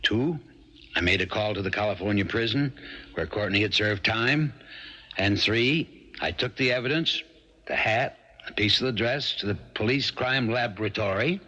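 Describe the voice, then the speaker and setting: An actor playing a plain-spoken small-town sheriff in a 1970s radio drama, folksy and unhurried. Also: male voice, on the low side, bright, forceful tone. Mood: measured, insistent. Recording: dull vintage radio recording, music behind.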